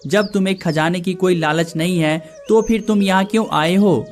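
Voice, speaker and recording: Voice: mid-range voice, fairly even intonation, normal speed, faint music behind, natural voice. An actor voicing a solemn old holy man who guards a cave in a cartoon story, stern yet kindly. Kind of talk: cartoon moral story dialogue.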